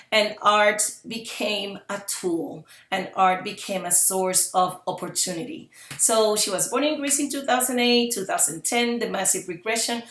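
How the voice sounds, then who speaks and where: A woman's voice: mid-range voice, fairly bright tone. Earnest woman in her fifties, roomy echo. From Puerto Rico. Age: 50s. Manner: earnest, repeats phrases for emphasis. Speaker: parent advocate presenter. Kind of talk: public presentation talk.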